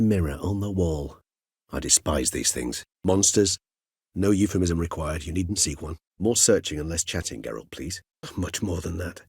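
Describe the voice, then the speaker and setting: An actor playing a courteous, erudite, world-weary centuries-old vampire in a video game, speaking in measured, eloquent, formal phrases. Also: male voice, low voice, breathy edge, no room sound, clean studio sound, exaggerated voice. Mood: courteous, composed. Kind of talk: video game character dialogue.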